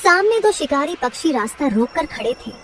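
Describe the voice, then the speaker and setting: A woman narrating a children's story in a steady, gently dramatic way. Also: sits high, brisk, far from the mic, a little hiss or hum.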